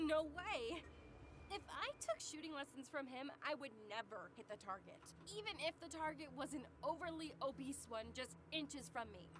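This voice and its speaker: A woman's voice: high voice, lively intonation, normal speed, background noise. A woman playing a prickly, haughty young female firearms expert in an anime visual novel. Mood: irritated.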